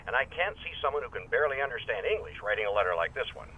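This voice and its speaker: A man's voice: medium pitch, sing-song, very fast. An actor playing a defensive, stubborn, proud restaurant businessman in a radio drama, insistent and repetitive, heard through a telephone filter. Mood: defensive, dismissive. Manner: insistent, repeats himself. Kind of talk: radio drama phone-call acting. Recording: tinny phone line, faint noise.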